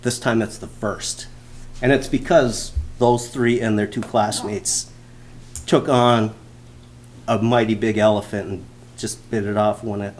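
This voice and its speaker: A man's voice: on the low side, slight background noise. A man giving a conversational, upbeat update at a township board meeting.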